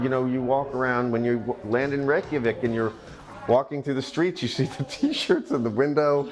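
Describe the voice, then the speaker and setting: A man in an everyday middle register talks through his film's backstory, eager and rambling. He restarts sentences often as he tells his stories. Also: mid-range voice. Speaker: film director. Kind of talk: interview, storytelling anecdotes.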